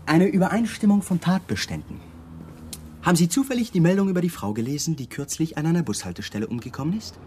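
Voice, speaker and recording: A man's voice: fairly high pitch, lively intonation, fast talker, darkish tone, slight background noise, natural voice. An actor playing an insistent police lieutenant in a radio crime drama, polite but needling and insinuating with his questions. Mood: brisk, formal.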